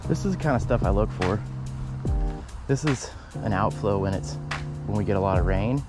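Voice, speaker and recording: A man vlogging while fishing outdoors by a canal, talking casually and breaking into excited exclamations. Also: lowish voice, moderate pace, over loud music. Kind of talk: casual fishing vlog narration.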